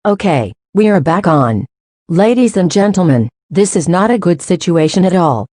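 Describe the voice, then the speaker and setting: Woman as female TV news anchor: serious, composed, formal, mellow lowish voice, dry studio-style sound. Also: expressive, moderate pace, no room sound. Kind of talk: scripted news broadcast narration.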